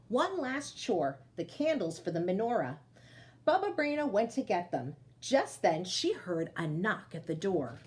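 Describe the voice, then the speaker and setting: A woman storyteller reading aloud to children, expressive, with chatty asides that speak to them directly. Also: mid-range voice.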